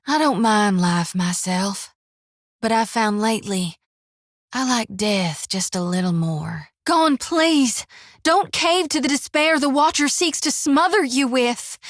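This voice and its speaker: Devout young priestess, folksy and fierce, highish voice, dead dry studio sound. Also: female voice, fairly bright tone, dry recording, heavily acted. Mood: zealous. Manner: earnest, folksy, pious. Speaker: companion character in a fantasy game. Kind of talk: video game voice acting.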